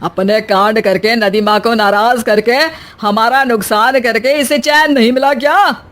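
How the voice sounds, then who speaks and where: A woman's voice: fairly high pitch, fairly even intonation, measured pace, fairly bright tone. A woman playing a scolding, complaining Indian village mother-in-law in a cartoon story, with a nagging, exclamatory delivery. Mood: accusing. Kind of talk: Hindi animated moral story acting.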